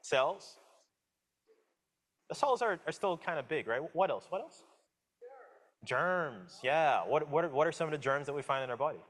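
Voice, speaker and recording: A man in his forties from the Caribbean with a slight Caribbean accent, giving a relaxed public science lecture and talking with the audience, with many fillers and restarts. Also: a little high, moderate pace, pauses often. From Guyana, raised in Jamaica. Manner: casual, friendly, asks audience questions, many fillers and restarts. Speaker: guest lecturer, professor.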